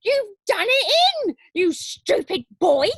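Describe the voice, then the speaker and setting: A woman voicing a cranky old grandmother who shouts in a shrill, bossy way. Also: sing-song, slow pace, no echo, clean studio sound, exaggerated voice. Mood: scolding.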